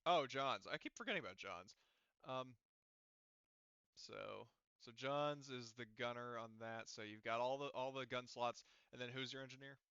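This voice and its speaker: Guy game mastering, bright crisp voice, thinks out loud, dry slightly dull lo-fi mic. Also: medium pitch, normal speed, no room sound. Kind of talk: casual tabletop game talk, running a session.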